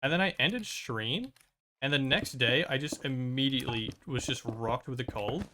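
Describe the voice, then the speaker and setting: A man's voice: medium pitch, normal speed, sharp tone, a little hiss or hum. Rambling young man streaming a game. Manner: rambling, many "um"s and restarts. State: recovering from a cold and lost voice. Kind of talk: casual chat while playing.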